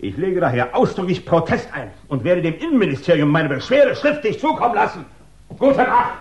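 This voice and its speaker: Huffy official, swooping higher voice. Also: male voice, very animated, a little fast, a little hiss or hum. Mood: indignant. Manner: pompous, formal, huffy. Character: indignant, officious male village police official. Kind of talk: 1960s German crime radio play acting. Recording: muffled 1960s radio play recording.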